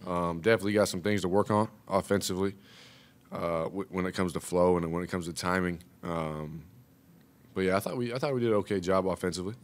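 A young man, a college basketball player, answering questions at a postgame press conference, relaxed and full of "you know". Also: low-pitched, quick pace. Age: young adult. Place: press room, seated at table. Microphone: table mic.

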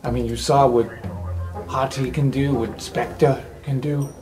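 A man's voice: mid-range voice, steady tone, unhurried, over music, pitched below the performer's own voice, close to an everyday voice. A man playing a blunt, protective young male superhero in an improvised tabletop roleplay, hesitant at times. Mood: earnest, reproachful.

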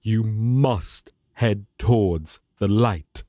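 A man's voice: fairly low pitch, extremely slow, warm tone, no room sound, studio quality. A man voicing a righteous, commanding angel warrior in a fantasy audio roleplay, with archaic, preachy and self-important speech.

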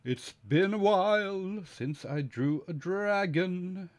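A man in his forties with a slight cold, chatting slowly and hesitantly with a live audience while he draws at his desk, with plenty of ums and uhs. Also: sits high. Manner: slow, musing, hesitant with many fillers, reads chat aloud. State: sniffly with a cold. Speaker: artist streaming live. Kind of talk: casual live-stream chat while drawing. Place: home studio desk, indoors.

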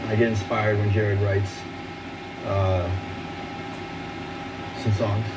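Low rambling man, far off. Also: flat delivery, slow. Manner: loose, rambling with fillers. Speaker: band member guest. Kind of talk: casual interview chat. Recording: distant, noisy webcast.

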